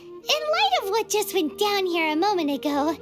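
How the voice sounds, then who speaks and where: A tiny floating fairy girl for a video game, extremely high and squeaky. The delivery swings wildly up and down, cheeky and exclamatory, with game music playing behind. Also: big pitch swings, normal speed. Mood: nervous. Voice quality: squeaky, childlike. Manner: speaks of self in third person, exclamatory. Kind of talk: video game character acting.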